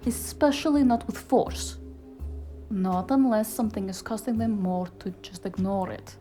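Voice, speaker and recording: A woman playing a sharp, street-savvy, exasperated fixer in a cyberpunk noir audio drama, sardonic and emphatic. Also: mid-range voice, moderate pace, soft background music, natural voice. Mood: wary, analytical.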